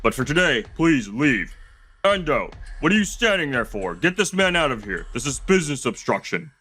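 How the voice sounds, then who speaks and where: A man voicing a scheming, panicky CEO who smooths over his lies in a manga dub. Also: mid-range voice, very animated, normal speed, pushed and bright, faint music behind. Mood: indignant.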